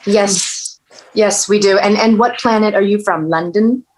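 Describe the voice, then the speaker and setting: A woman's voice: medium pitch, unhurried, slight background noise, close to an everyday voice, rough recording. A woman acting a dry, skeptical female detective. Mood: sardonic. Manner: dry, sarcastic questioning. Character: cool, skeptical female homicide detective, smart and direct. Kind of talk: table read of a TV crime drama.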